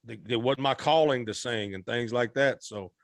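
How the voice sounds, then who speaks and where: A man in his thirties from Arkansas, a guest singer telling his story in a relaxed, rambling, folksy way, often correcting himself. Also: medium pitch, normal speed, no echo, clean studio sound.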